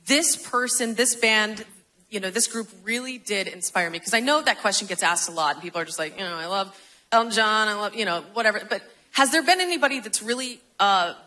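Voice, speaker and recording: A woman's voice: medium pitch, expressive, fairly quick, sharp tone, poor audio. A woman hosting a radio interview on stage, speaking into a handheld microphone. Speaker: radio host interviewing a band. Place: theater stage.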